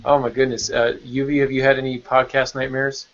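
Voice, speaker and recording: A man's voice: mid-range voice, flat delivery, fairly quick. Male podcast host, mid-range voice, informal and bantering, slightly dull lo-fi call sound. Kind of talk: casual panel chat. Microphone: online video call.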